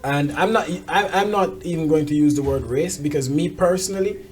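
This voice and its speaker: A woman's voice: low voice, brisk. An outspoken woman talking frankly and emphatically in a casual, opinionated conversation. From Jamaica. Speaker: talk show host.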